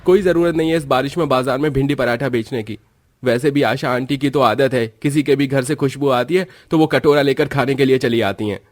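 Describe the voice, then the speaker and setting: Irritable young husband acted by a man, raised pitch. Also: brisk, no echo, lightly acted. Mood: objecting. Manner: argumentative, exasperated. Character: irritable, worrying young village husband and son. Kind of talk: Hindi cartoon story dialogue.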